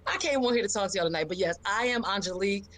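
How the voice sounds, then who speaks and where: A middle-aged American woman from Philadelphia telling her own story on a live show in an emphatic, expressive, high-energy way. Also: rapid-fire. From Philadelphia, United States.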